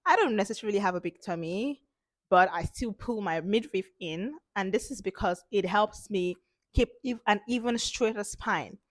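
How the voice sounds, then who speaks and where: A woman hosting an informal instructional talk as a confidence coach, speaking emphatically with repeated points and a slightly hoarse voice from a cold. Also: medium pitch, normal speed, dry recording, clean studio sound.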